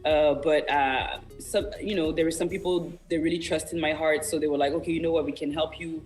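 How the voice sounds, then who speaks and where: A man's voice: fairly high pitch, fairly even intonation, a little fast, faint music behind. A young man, a native French speaker, talking earnestly and casually as a singer interviewed on the radio. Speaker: independent singer-songwriter, interview guest.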